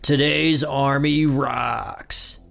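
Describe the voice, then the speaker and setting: A macho recruiting-ad announcer , put on by a male storyteller, punchy and gravelly at a middle pitch. Music plays underneath. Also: steady tone, very slow, a bit bright, heavily acted. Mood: macho, promotional.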